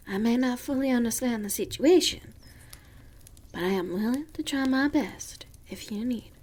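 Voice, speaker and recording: Slow, sweet Southern farmer's daughter acted by a woman. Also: fairly high pitch. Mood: tender, reassuring. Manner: gentle, folksy, reassuring, giggly. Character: sweet, comforting young Southern farmer's daughter who bakes. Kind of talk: ASMR roleplay, intimate and slow.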